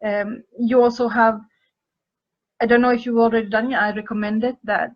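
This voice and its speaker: Slow, hesitant woman from Finland, muffled. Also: medium pitch. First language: Finnish and Swedish. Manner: thoughtful and hesitant, pausing with fillers and restarting phrases. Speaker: podcast host answering listener questions. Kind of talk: advice talk answering questions.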